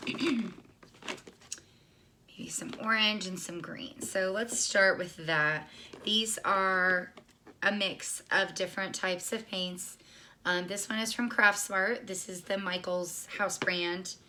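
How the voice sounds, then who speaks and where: A woman with a scratchy, hoarse voice from being sick, casually talking through a painting tutorial with lots of ums and restarts. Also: mid-range voice, fairly even intonation, takes it slowly, sharp tone. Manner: chatty, many ums and restarts. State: hoarse, recovering lost voice. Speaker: painting instructor.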